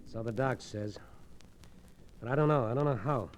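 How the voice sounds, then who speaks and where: An actor playing a worried working-class boxing manager, subdued and halting, in 1940s radio drama style. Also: male voice, mid-range voice, natural voice. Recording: faintly noisy old radio recording.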